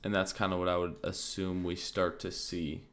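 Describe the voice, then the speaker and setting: A man streaming crypto market commentary in a casual, thinking-aloud way, his voice slightly raspy and often interrupted by throat clearing. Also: deep voice, normal speed. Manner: relaxed, thinking aloud, many fillers like "like" and "um".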